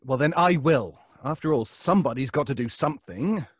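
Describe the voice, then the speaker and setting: Anxious, husky-voiced neighbor. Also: male voice, mid-range voice, lively intonation, a little fast, no room sound, studio quality. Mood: insistent, agitated. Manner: nervous, hesitant, stammering. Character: anxious, earnest, insistent male neighbor. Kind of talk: old-time radio suspense acting.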